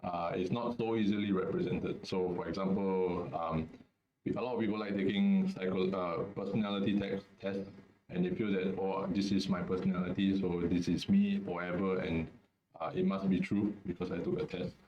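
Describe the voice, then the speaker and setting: A low-pitched young man from Singapore talks over a video call. His delivery is relaxed and hesitant, with fillers and restarts. The sound is echoey and muffled. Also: normal speed, slight background noise. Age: young adult. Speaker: student webinar host. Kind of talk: casual webinar discussion.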